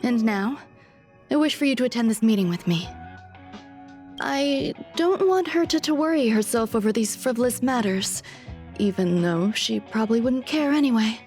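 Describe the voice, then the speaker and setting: A woman's voice: fairly high pitch, expressive, normal speed, a little mellow, soft background music, lightly acted. An actress playing a composed, aloof genius scientist in a video game, politely commanding in her manner. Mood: composed, quietly commanding. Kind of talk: video game character acting.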